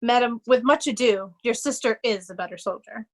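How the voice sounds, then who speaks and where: A woman's voice: a little high, a little fast, lightly acted. A woman playing a guarded, deferential servant in an amateur Shakespeare reading. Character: guarded, deferential steward Oswald. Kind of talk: amateur Shakespeare reader's theatre.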